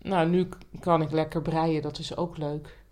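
A woman's voice: low voice, flat delivery, moderate pace, a little mellow. A woman chatting casually as a podcast co-host. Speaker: writing teacher. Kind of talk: casual chat. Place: indoors on a couch.

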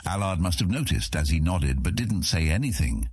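A man narrating a scripted fantasy audiobook as a measured, expressive storyteller. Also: deep voice, breathy edge, no room sound, studio quality.

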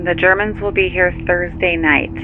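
A woman playing a brisk, dry, efficient assistant in an audio drama, heard through a phone filter. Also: on the low side, fairly even intonation. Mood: matter-of-fact. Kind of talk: naturalistic audio drama acting. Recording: tinny phone line, loud car hum.

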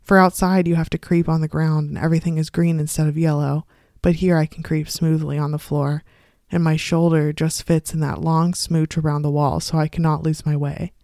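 Storybook narrator: reader playing a confined, unravelling woman, secretive and defiant, measured confiding delivery, medium pitch, dry sound. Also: flat delivery, darkish tone, no echo, lightly acted. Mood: confiding, unsettled. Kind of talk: first-person literary story reading.

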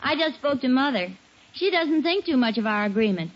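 A sensible suburban wife in a vintage radio sitcom. Her voice is high and lilts freely up and down, gently wry. The old recording sounds dull. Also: animated, fairly quick. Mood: gently teasing. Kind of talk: 1940s radio sitcom acting.

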